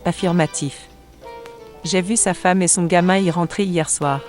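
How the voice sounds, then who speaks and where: A woman plays a tough Resistance fighter with a low voice. She talks in a familiar, plain way, as in a wartime radio play, with music behind her. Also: steady tone, unhurried, darkish tone, lightly acted. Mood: matter-of-fact. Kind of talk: wartime audio drama dialogue.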